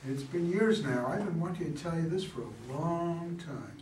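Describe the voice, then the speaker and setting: A man's voice: on the high side, lively intonation, normal speed, darkish tone, natural voice. A man in a staged play reading, playing an earnest, loyal man pleading for love. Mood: tender, urgent. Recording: echoey room, distant mic, clear noise.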